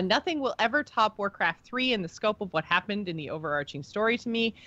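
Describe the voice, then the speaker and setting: A woman's voice: on the low side, a bit bright, no echo, dull audio. A woman co-hosting a gaming podcast, talking casually and with an informal fan's enthusiasm. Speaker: podcast co-host. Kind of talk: casual chat about video game lore, reading listener email.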